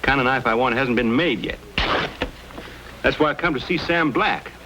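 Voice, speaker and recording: A man's voice: medium pitch, normal speed, close to an everyday voice. An actor playing a bold, courteous young frontiersman with folksy phrasing in an old-time radio Western. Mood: determined, easygoing. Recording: noisy old radio drama recording.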